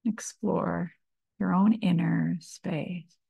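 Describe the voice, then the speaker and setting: A woman meditation teacher guiding a body scan, speaking calmly and soothingly in short phrases with long pauses. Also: fairly low pitch, creaky, breathy edge, no room sound. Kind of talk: slow guided meditation, body scan narration.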